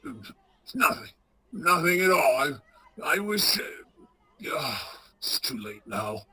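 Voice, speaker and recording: A woman playing an anxious, sentimental old male miser in a radio play, stammering and halting with emotion. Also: low voice, sing-song, bright, forceful tone, husky, full character voice. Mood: sorrowful, regretful.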